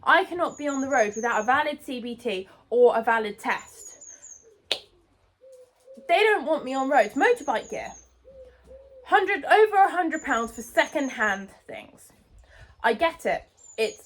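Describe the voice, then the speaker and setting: A young British woman, about nineteen, ranting indignantly into her phone outdoors by a road. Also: sits high. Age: young adult. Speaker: vlogger. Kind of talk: ranting vlog. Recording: phone mic outdoors, cars passing.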